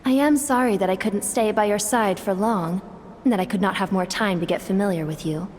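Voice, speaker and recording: A solemn female spirit servant from a fantasy game, voiced by an actress. The voice is mid-pitched, wistful and formal, washed in long reverb over faint noise. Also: a little fast, a little mellow, close to an everyday voice.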